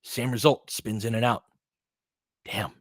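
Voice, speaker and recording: A man's voice: lowish voice, fairly even intonation, a little slow, dry recording. Man calling basketball, dry close sound. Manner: clipped phrases, casual partisan asides. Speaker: fan play-by-play commentator. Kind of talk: live basketball play-by-play commentary.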